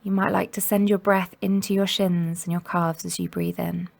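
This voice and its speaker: A woman guiding a meditation in a gentle, soothing and unhurried manner, leaving long pauses between instructions. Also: lowish voice, flat delivery, gravelly. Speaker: mindfulness meditation guide. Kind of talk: guided body scan meditation narration.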